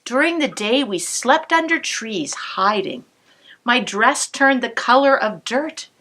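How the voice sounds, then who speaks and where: A woman cantor reading a picture book aloud to children, expressive, pausing to point out the pictures. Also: on the high side. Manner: warm, expressive storytelling with asides to the listener.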